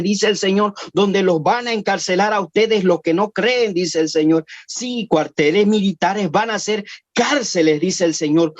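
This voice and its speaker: A man's voice: fairly high pitch, no echo. A man preaching a prophecy, declaiming lines as if quoting God and repeating a refrain after each one. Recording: dull lo-fi dry recording.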